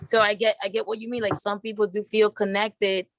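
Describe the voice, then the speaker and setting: A woman's voice: medium pitch, level tone, a little fast, no echo, studio quality. Heartfelt woman. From Dominican Republic. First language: Spanish. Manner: heartfelt, repeats words as she speaks. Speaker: advocate. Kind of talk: panel discussion.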